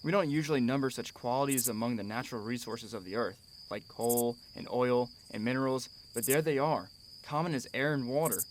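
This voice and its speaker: A man plays a gentle expectant father in a radio drama. He speaks earnestly and tenderly, in a reflective way, over faint background noise. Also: mid-range voice, a little fast, close to an everyday voice. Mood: solemn, wistful.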